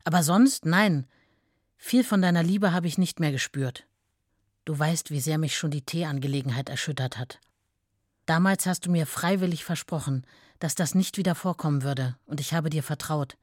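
An actress playing a proud, deeply hurt wife in a radio play, controlled and reproachful in her delivery. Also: normal speed, darkish tone, no echo, clean studio sound. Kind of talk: radio play letter reading.

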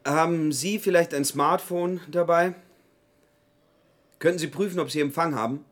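A man's voice: on the high side, normal speed, close to an everyday voice. An actor playing a restless, bored man in his forties, hesitant but insistent, in a radio play. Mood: politely restless. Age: 40s. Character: restless, bored 40-year-old man, politely pestering strangers. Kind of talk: German radio play acting.

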